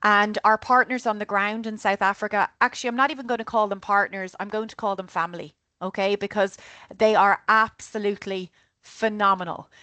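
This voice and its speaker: A woman's voice: mid-range voice, fairly even intonation, brisk, sharp tone, no room sound. Chatty Irish woman with a dry, close sound. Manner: chatty, keeps adding "okay?". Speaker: tour operator director of sales. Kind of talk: online group presentation.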